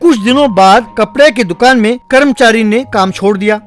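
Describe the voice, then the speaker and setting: High-pitched man narrating a story. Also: moderate pace. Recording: music behind the voice. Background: background music.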